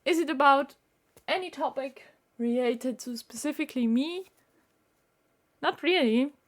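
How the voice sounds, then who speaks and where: Slow, earnest woman. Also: sits high. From Austria. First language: German. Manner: earnest, halting with frequent fillers. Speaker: vlogger. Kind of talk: casual talk to camera.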